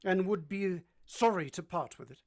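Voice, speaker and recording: A man playing a brooding, commanding gentleman who is both teasing and ardent, in a theatrical vintage-style radio drama. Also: high voice, normal speed. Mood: probing, teasing.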